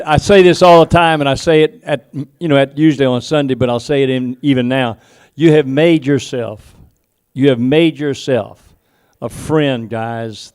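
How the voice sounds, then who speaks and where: A man at a student auction who tells stories in a folksy way and calls bids in a rapid rhythmic chant. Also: mid-range voice, a little mellow, clear, resonant tone. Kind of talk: auction bid calling. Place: auction hall.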